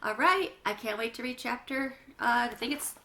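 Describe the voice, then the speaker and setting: A woman's voice: on the high side, moderate pace, pushed and bright. A woman reading a children's book aloud, narrating with chatty asides to young listeners. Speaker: children's read-aloud reader.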